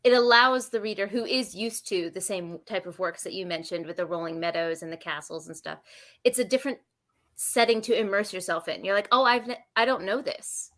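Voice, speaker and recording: A woman's voice: mid-range voice, brisk, fairly bright tone. A woman co-hosting a podcast, speaking with enthusiasm in a casual chat. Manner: enthusiastic, appreciative. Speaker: podcast co-host.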